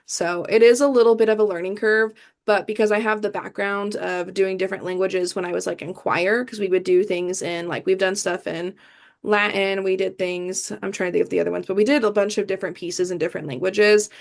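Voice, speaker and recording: A woman's voice: medium pitch, steady tone, a little fast, creaky. A young woman from the American Midwest, answering interview questions in a chatty way, with many fillers and restarts. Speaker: idol performer, guest.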